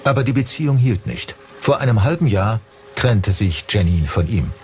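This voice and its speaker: A man's voice: on the low side, animated, moderate pace. A man reading a scripted true-crime documentary narration. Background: music behind the voice.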